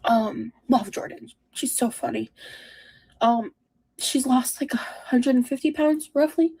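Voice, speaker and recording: A woman speaks in a mellow, husky voice with plenty of creak. She talks confessionally to camera as a streamer. Her delivery is halting, emotional and self-reproaching. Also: high voice. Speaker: streamer, in a clip being reacted to. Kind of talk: personal confessional talk to camera.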